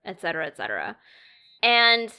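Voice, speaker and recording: Woman voice actor, bright smooth tone, lively casual storyteller. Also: mid-range voice, brisk. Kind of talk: casual podcast chat.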